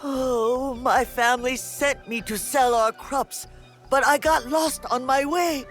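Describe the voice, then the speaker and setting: An agitated, erratic peasant speaks in halting, drawn-out phrases at a middle pitch. It is frantic game cutscene acting with music underneath. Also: female voice, steady tone. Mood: distraught. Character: erratic, frantic peasant raving about a curse.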